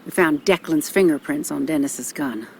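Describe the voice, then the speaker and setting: A woman's voice: low-pitched, moderate pace, gravelly, slight background noise. An actress playing a motherly older small-town policewoman in a video game, folksy and reassuring.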